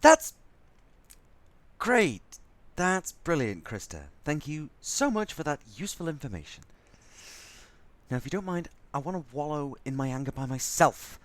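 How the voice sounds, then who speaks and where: A man's voice: fairly high pitch, very animated, unhurried, long pauses. An actor playing an exasperated, sarcastic, foul-mouthed crewman in a science fiction audio drama. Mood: sarcastic, irritated. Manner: sardonic, ranting.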